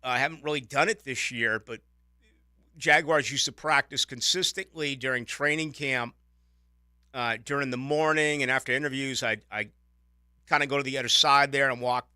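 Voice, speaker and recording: A man's voice: mid-range voice, pushed and bright, dry recording, clean studio sound. A man with a Boston accent hosting a casual radio talk show, rambling and joking. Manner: rambling, self-correcting, jokey. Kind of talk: casual sports radio chat. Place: radio studio. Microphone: studio microphone.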